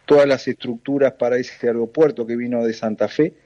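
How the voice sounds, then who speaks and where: A Uruguayan man with a Rioplatense Spanish accent, speaking as an interview guest and running a transport company. His voice is mellow and dark, and he explains at length with plenty of fillers and restarts. The line sounds muffled and lo-fi. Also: medium pitch, fairly even intonation, fairly quick. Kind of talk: interview guest, phone or remote call.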